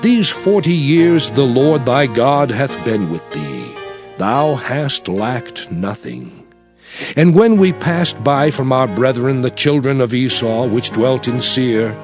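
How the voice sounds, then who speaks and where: An actor playing a solemn, commanding prophet who recounts his people's journey in emphatic, declamatory scripture drama. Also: mid-range voice. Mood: stern instruction. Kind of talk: dramatized scripture narration, archaic English.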